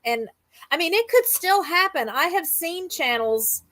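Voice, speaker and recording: A woman's voice: very high voice, animated, a little slow, a bit bright, no room sound. A woman hosting a casual livestream interview, putting questions to her guest in a conversational way.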